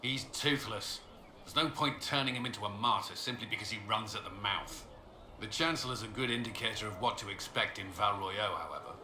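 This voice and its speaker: A man's voice: fairly low pitch, brisk, pushed and bright. An actor playing a firm, exasperated male military commander in a fantasy video game, with a curt, dry, commanding way of talking. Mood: dry, dismissive. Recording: roomy echo, clear background noise.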